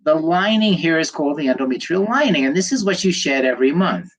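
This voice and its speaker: A man's voice: on the high side, very animated, quick pace. An older man, a doctor, slightly nasal from a cold, giving a chatty livestream lecture, rambling with fillers and greeting viewers by name.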